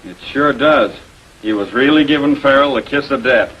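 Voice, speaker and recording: An actor playing a wisecracking homicide lieutenant in a 1940s American TV crime drama, blunt, slangy and wry. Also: male voice, mid-range voice, normal speed, highs cut off, slight background noise, close to an everyday voice.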